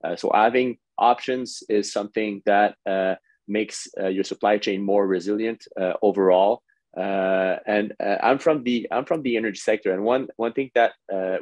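A man's voice: on the low side, a little mellow, no echo. A man, a port executive answering on a video call panel, hesitant with many fillers and restarts.

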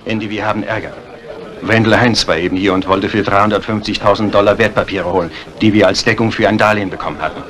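An actor playing a courteous, correct bank director in a 1950s radio drama, speaking formally. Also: male voice, fairly low pitch, fairly even intonation, fast talker, a bit bright, close to an everyday voice. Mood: urgent. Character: polite, correct bank director and newlywed husband.

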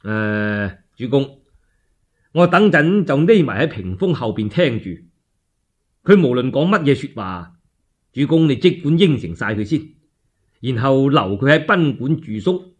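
Man playing knowing Cantonese strategist, husky edge. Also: medium pitch, big pitch swings, a little slow. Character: shrewd, calm male strategist advising his lord.

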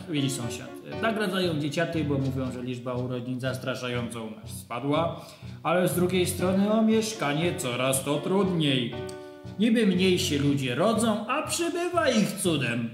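A man playing a pushy, fawning bureaucrat neighbour in a radio drama, talking in a wheedling way. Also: a little high. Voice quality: chatty, wheedling. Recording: roomy echo with music behind.

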